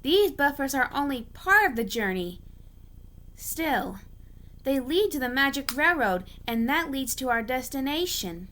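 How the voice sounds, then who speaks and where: A confident, teasing male tank engine from a children's story, as a woman reader puts him on. The voice is bright and raised, with a coaxing, cheerful slyness. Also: high-pitched, big pitch swings, measured pace, slight background noise, heavily acted. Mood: confident, sly.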